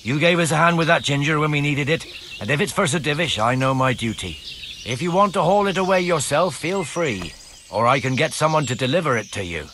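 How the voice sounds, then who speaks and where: A man's voice: on the high side, expressive, a little fast, fairly bright tone, a little hiss or hum. A man playing a plain, dutiful tradesman in a medieval video game. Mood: cautious, obliging. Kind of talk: video game dialogue acting.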